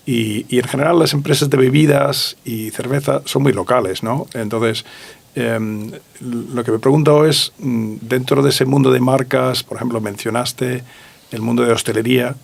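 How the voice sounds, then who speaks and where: A man hosting a podcast interview, building his questions haltingly and conversationally with thinking pauses. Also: mid-range voice, gravelly.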